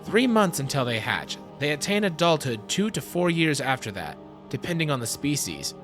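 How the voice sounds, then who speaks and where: A man's voice: fairly high pitch, very animated, normal speed, fairly bright tone. A man playing a proud, self-assured geneticist explaining his lab to visitors in a fan audio drama. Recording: music under the voice.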